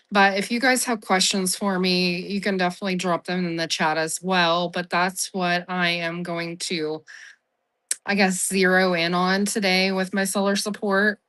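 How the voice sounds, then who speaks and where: A hoarse woman getting over the flu hosts a casual livestream from home. She talks in a chatty, friendly way with lots of ums and keeps apologizing for how her voice sounds. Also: lowish voice, fairly bright tone. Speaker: live-selling app host. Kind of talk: casual livestream seller-support chat.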